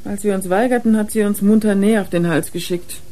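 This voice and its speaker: A woman's voice: mid-range voice, steady tone, a little fast, dark tone, natural voice. A woman acting a worn, defiant young drug user who confides in a German radio crime drama. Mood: confiding, upset.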